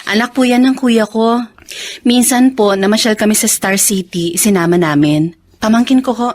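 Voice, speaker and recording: Woman acting a high-voiced respectful daughter. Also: normal speed, darkish tone, natural voice. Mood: polite. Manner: polite, deferential. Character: polite, respectful adult daughter. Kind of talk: radio drama acting.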